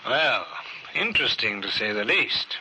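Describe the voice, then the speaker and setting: An actor playing a shrewd, coolly polite police inspector, dry and formal, in old radio drama style on a noisy recording. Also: male voice, on the low side, sing-song, unhurried. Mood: measured. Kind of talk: 1950s radio drama acting.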